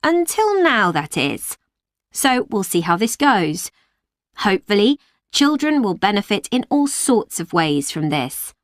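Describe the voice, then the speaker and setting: An actress playing a woman who runs an after-school club, explaining its activities to parents in a clear, measured way. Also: mid-range voice, big pitch swings, no room sound, clean studio sound. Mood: informative. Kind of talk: scripted exam recording.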